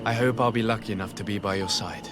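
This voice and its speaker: An actor playing a courteous, gentle young envoy, the hero of a video game, speaking politely and with composure. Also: male voice, on the low side, fairly even intonation, quick pace, noisy background, close to an everyday voice. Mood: polite, attentive. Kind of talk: video game dialogue acting. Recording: music behind the voice.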